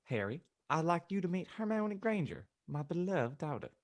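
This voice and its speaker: Magnanimous reverend dad, acted by a man, hearty, pitched up, comic audiobook. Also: very animated, normal speed. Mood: hearty, genial. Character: genial, solemn reverend father and schoolmaster. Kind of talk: comic audiobook reading of fan fiction.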